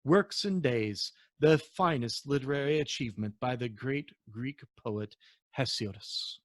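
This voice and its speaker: Courteous antique dealer played by a male reader, storybook character, bookish, measured, eloquent, dry close sound. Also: medium pitch, no room sound, lightly acted. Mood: learned, proud.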